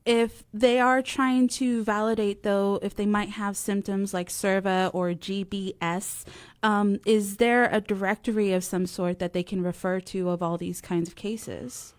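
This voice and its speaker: A woman's voice: mid-range voice, steady tone, measured pace, clear, resonant tone, no echo. A woman co-hosting a talk radio show, asking interview questions and reading out announcements.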